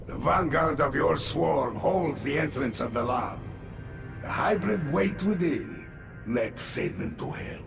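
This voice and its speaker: A man playing a grave, haunted warrior adviser in a video game, measured and ominous. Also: a little high, lively intonation, vocal fry, full character voice. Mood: grim. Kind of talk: video game cinematic acting. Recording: echoing, distant, music behind.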